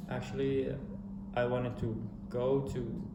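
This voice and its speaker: A young man from Syria talks through his answers in a loose, rambling way, full of fillers and restarts. His voice sits at an ordinary pitch. The room rings with echo, and a steady background noise is audible. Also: slow. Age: young adult. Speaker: interviewed student. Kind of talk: casual interview answers. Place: indoor studio room with a cartoon backdrop. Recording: echoey room with noisy background.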